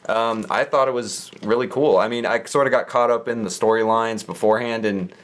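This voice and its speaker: A man's voice: mid-range voice, fairly quick, a little hiss or hum. A man talking casually as a podcast guest, easygoing and often with a light laugh in his words.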